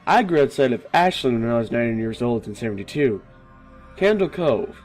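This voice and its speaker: Searching male reminiscer played by a man, animated pitch, eerie forum-story reading over music. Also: normal speed, close to an everyday voice. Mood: tentative recollection. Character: hesitant man piecing together eerie childhood television memories. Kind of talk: dramatic reading of online forum posts.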